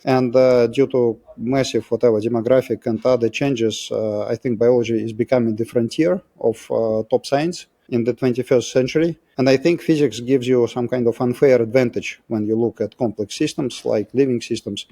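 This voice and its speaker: Mellow middle-aged man with Russian accent. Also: mid-range voice, steady tone, moderate pace, hardly any pauses. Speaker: interview guest, physicist turned biotech scientist. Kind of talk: explanatory interview talk.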